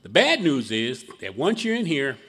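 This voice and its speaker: A man's voice: mid-range voice, wildly swooping pitch, normal speed, very noisy. A middle-aged man with a Philadelphia accent, giving a folksy, self-deprecating personal testimony to an audience at a recovery meeting. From Philadelphia, Pennsylvania. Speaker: guest speaker at a recovery meeting. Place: meeting room before an audience.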